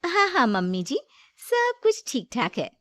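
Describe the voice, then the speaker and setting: A woman's voice: high-pitched, huge pitch swings, unhurried, fairly bright tone, no echo, heavily acted. A woman voicing a brash, stingy, scheming daughter-in-law who wheedles in a Hindi moral story. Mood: wheedling.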